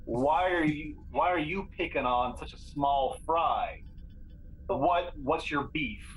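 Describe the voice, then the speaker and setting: Man as a high-pitched taunting alien envoy. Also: slow pace, a little hiss or hum, low-quality recording. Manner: blunt, taunting.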